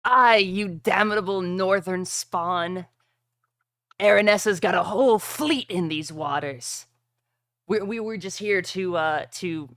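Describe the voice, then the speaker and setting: A man voicing a defeated, bitter ship captain who answers haltingly in old-time seafarer phrasing. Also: high voice, a bit bright, no room sound, exaggerated voice. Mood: bitter, defiant.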